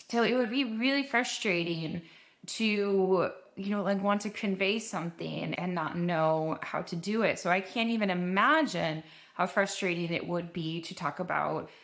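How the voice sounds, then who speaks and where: A woman's voice: fairly low pitch, a bit bright. Earnest woman hosting a podcast. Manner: earnest, conversational, with restarts. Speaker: podcast host. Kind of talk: solo podcast introduction.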